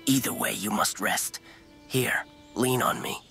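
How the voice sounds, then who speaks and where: A man's voice: medium pitch, a little slow, creaky, pushed and bright. A man playing a polite, shrewd wandering merchant with a salesman's manner in a comic dub. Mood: courteous concern. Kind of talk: manga comic dub acting. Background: music under the dialogue.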